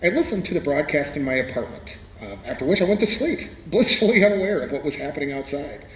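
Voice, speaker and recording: An erudite, wry playwright, acted by a man, with a slightly high voice. He tells his story in literary phrases with hesitant starts, in a reverberant hall with faint noise. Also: sing-song, quick pace. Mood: wry, modest. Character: erudite, wry male radio playwright being interviewed. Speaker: interview guest. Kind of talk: scripted interview reminiscence in radio drama.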